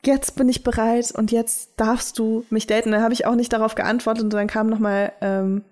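A woman's voice: fairly high pitch, little pitch movement, moderate pace, darkish tone. A young woman chatting casually as a podcast co-host, often laughing. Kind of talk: casual chat.

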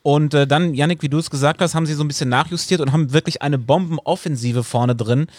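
A man recapping a match in a casual football talk, quick and rambling. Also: a little high, darkish tone, dry recording, studio quality. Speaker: football pundit.

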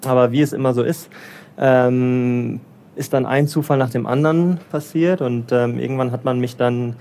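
A German man, probably in his thirties, answering interview questions in a relaxed, hesitant way with many fillers and restarts. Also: mid-range voice, moderate pace, vocal fry. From Germany, raised in Bavaria. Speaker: interviewee, development finance professional in Lagos.

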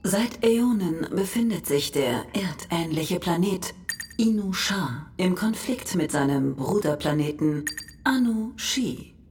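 Cosmic compendium narrator, formal and solemn, measured slow delivery, lowish pitch, scripted radio play. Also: female voice, a little mellow.

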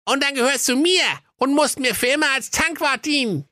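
A man's voice: very high-pitched, moderate pace, pushed and bright, no room sound, studio quality, voice pushed up. A man voicing a grumpy, sly evil little male dwarf in a comic fairy tale, grumbling and scheming.

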